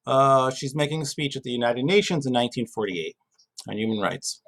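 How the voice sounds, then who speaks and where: A man's voice: medium pitch, lively intonation, fairly quick, a bit bright. Man teaching, informal and conversational. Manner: conversational, asks and answers own questions, emphatic repetition, casual asides. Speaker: GED reading teacher. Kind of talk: informal spoken lesson, explaining history and reading a passage aloud.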